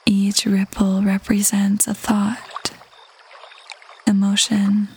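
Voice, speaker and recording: A woman leading a guided meditation in a soft, breathy half-whisper, gentle and calm with long pauses. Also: medium pitch, slight background noise. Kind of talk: guided meditation, slow soothing narration. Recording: voice over prominent background music. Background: meditation music.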